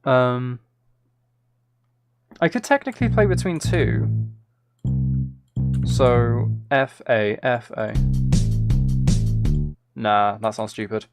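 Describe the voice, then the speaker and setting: A man with a mid-pitched voice full of vocal fry talks informally to a livestream. He thinks out loud about the music he is writing and is quick to put down his own ideas. Plucked instrumental music can be heard in the background. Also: animated. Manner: self-deprecating, hums and sings out rhythms. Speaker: live streamer making music. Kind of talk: casual livestream chat, thinking aloud while composing.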